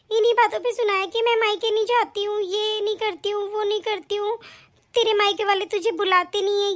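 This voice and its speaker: A woman voicing a sharp-tongued, argumentative young daughter-in-law in a family skit. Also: very high-pitched. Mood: complaining. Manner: indignant, argumentative.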